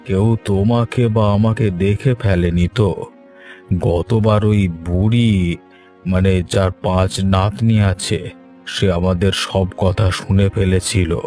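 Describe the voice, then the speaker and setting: A man playing a crooked, wary village headman with a deliberate, conspiratorial manner in a cartoon. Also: low voice, fairly even intonation, dark tone, soft background music. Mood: suspicious. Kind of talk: cartoon voice acting.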